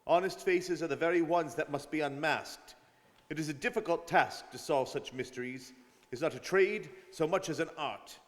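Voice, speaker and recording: A man plays a dogged, suspicious police detective in a radio play, sure of himself and insistent. His voice sits a little high and rings with long hall reverb. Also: moderate pace, lightly acted. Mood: sly, confident. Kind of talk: old-time radio drama acting. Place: performed live in a studio.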